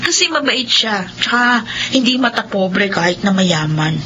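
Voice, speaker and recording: An actress playing a good-natured, bashful young sister in a Filipino radio drama, chatty and animated. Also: female voice, mid-range voice. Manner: chatty, animated, quick to protest. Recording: dull lo-fi audio with background noise.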